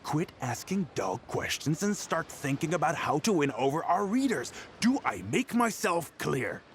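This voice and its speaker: Unscrupulous male newspaper boss for a game: higher-set voice, scornful, condescending, very animated. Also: normal speed, a little hiss or hum. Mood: scornful, impatient. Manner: condescending, hectoring, slangy. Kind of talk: video game voice acting.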